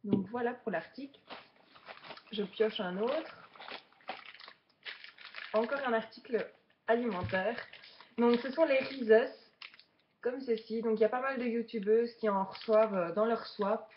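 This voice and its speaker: A woman's voice: a little high, unhurried, pauses often, muffled recording, noisy background. A woman of twenty-seven from Belgium, chatting casually to viewers as a beginner vlogger during an unboxing. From Belgium, Charleroi region.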